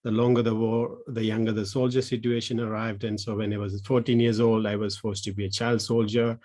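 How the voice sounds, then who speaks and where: Halting man presenting online. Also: on the low side, little pitch movement, darkish tone. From Sri Lanka. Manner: halting, many restarts and fillers. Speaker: social entrepreneur presenting.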